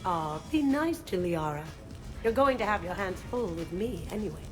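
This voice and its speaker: A woman's voice: fairly low pitch, animated, moderate pace, natural voice. A woman as a sly, teasing flirt. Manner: teasing, suggestive. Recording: music playing behind the voice.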